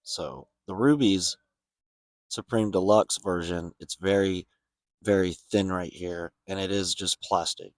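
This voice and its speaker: A man's voice: deep voice, fairly even intonation, measured pace. A man speaking casually and plainly to viewers as he hosts a hobby video walkthrough.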